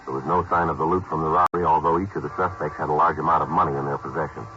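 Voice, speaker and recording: A very deep-voiced detective speaks quick, clipped and flat, with a bright edge to the voice. The sound is a muffled old radio broadcast with faint hiss. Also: male voice. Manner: clipped, flat, matter-of-fact delivery. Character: terse, calm male police detective. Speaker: detective and narrator. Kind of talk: 1950s radio police drama.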